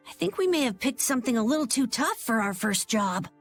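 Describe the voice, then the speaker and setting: A woman voicing an earnest, self-doubting young boy in an animated role-playing video game. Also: a little high, a little fast. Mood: unsure, bashful. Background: faint background music.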